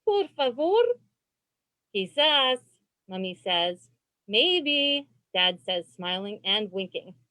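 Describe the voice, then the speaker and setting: A woman reading a children's storybook aloud as host, phrasing expressively and deliberately for young listeners on a video call. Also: mid-range voice, sing-song, sharp tone, no room sound. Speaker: librarian and read-aloud host.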